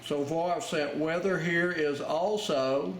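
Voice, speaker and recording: A man giving an informal ham radio lesson, talking in halting pieces as he explains a demonstration step by step. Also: fairly high pitch, fairly even intonation. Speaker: amateur radio instructor. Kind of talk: informal instructional narration over a demonstration. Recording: roomy, loud noise, radio clicks and tones.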